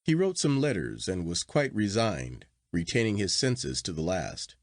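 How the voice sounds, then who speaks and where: Man reading as an elderly English doctor, low-voiced, frank. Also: wildly swooping pitch, unhurried, dry recording, clean studio sound, full character voice. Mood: frank, grave.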